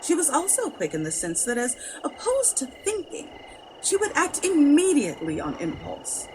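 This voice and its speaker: Animated high-voiced woman narrating horror. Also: moderate pace, rough recording. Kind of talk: scripted dramatic narration. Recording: clear background noise.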